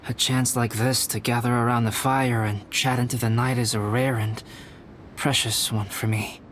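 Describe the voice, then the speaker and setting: A man playing a reserved, polite young alchemist in a video game, speaking in a measured, formal manner. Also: mid-range voice, flat delivery, a bit bright, a little hiss or hum, natural voice. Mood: calm, gracious. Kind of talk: video game character acting. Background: music.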